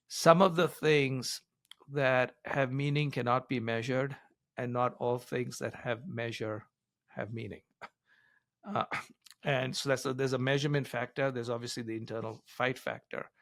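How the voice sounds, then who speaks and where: An older man speaks as an interviewed advisor, in a medium-pitched voice. He explains things in a conversational but emphatic way, setting out his points in order. The recording is dry, with very little room sound. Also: fairly bright tone, no room sound. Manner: emphatic, explains in numbered points with acted-out quotes. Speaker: business author and advisor being interviewed.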